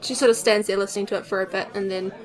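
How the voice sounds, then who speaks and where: A woman's voice: medium pitch, steady tone, very fast, some chatter behind, low-quality recording. A woman chatting casually as a player in a tabletop game.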